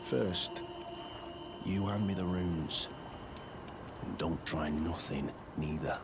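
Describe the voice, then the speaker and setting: A gruff, shady lowlife from a fantasy game with a working-class English accent. His voice sits low and he takes his time, blunt and surly with slangy swearing. Loud music plays behind him. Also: takes it slowly. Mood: menacing, haggling. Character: gruff, shifty male thug selling boiled prawns. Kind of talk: video game character acting.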